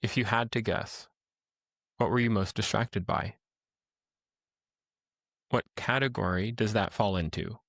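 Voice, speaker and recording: A man leading a guided meditation as a teacher, speaking in a calm, gentle, instructional way with pauses. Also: on the low side, dry recording, clean studio sound.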